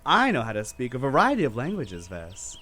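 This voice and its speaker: A man's voice: medium pitch, huge pitch swings, a little fast, bright, forceful tone, a little hiss or hum. A man playing a wry, slightly smug linguist on a space crew in a radio drama. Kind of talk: audio drama acting. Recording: music with guitar behind.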